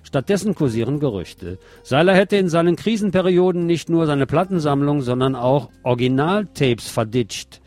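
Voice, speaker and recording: A man's voice: a little high, lively intonation, normal speed, few pauses, a little mellow, soft background music. A man reading a written article aloud as a radio host, in a scripted narration style.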